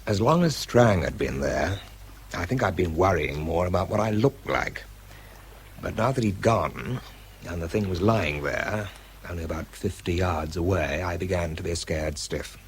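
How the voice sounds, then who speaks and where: A bomb disposal man in a radio drama, telling his own story in a low voice. He is understated and matter-of-fact, with nerves underneath. A little noise sits on the recording. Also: expressive, moderate pace. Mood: reflective, tense. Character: weary, nervous bomb disposal man with an artificial foot. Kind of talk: radio drama, first-person narration and dialogue.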